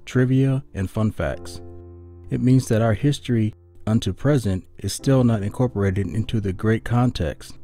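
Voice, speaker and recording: A man's voice: lowish voice, mellow. A man reading a written statement aloud as a guest on a podcast. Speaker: guest reader, host's husband.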